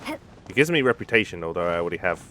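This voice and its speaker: A man's voice: low-pitched, lively intonation, fast talker, pushed and bright, a little hiss or hum. A man streaming a video game, giving casual commentary with offhand asides and murmured reactions. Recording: faint game music behind the voice.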